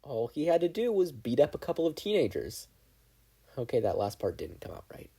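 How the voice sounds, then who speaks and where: A podfic narrator reading fiction aloud in an animated, scripted storytelling style. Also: mid-range voice, fairly quick, gravelly, breathy edge.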